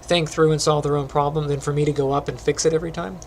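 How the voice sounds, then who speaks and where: Middle-aged male teacher, gravelly and phlegmy, rambling casual tutorial delivery at a desk mic, slightly higher voice, faint hiss behind. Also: quick pace. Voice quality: phlegmy, clears throat often. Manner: relaxed, rambling, many ums. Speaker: animation teacher. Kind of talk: casual screen-recorded tutorial chat.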